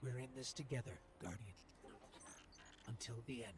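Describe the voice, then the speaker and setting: An actor voicing a devoted little machine companion in a video game, earnest, tender and reflective. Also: male voice, medium pitch, normal speed, background noise, lightly acted. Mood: tender, resolute. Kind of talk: video game cutscene monologue.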